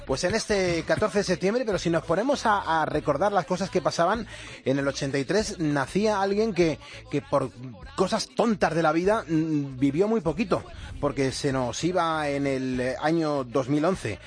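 Chatty upbeat radio presenter, a man. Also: a little high. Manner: upbeat, chatty, quick to laugh. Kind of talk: casual radio chat.

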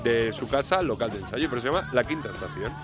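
A man's voice: mid-range voice, expressive, quick pace. A man from Madrid with a Madrid accent, chatting playfully as a radio music presenter. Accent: Madrid Spanish. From Madrid, Spain. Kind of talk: casual radio chat.

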